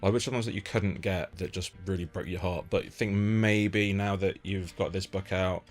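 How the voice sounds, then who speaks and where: A man's voice: low voice, steady tone, moderate pace, soft background music. A man interviewing someone in a casual chat, speaking informally and bluntly. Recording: lo-fi amateur interview setup.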